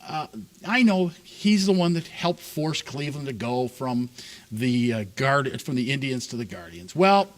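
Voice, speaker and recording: Animated ranting sports talk man. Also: mid-range voice, unhurried. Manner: ranting, sarcastic, laughs often. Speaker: co-host.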